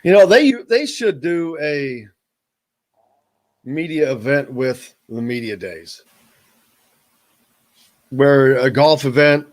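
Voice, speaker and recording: A man's voice: mid-range voice, takes it slowly, pauses often. A male sports radio host talks in a loose, rambling way and jokes with his guests. His voice sits in the middle of the male range. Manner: joking, rambling, animated. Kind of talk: casual sports radio chat.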